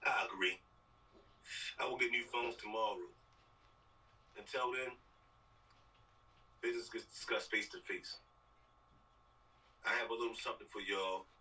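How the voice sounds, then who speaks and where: A man playing a commanding crew boss, speaking forceful slang in a film table read over a video call. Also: lowish voice, fairly even intonation, unhurried, pauses often. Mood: measured, firm. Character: commanding male street crew boss calling a meeting. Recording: distant mic on a video call.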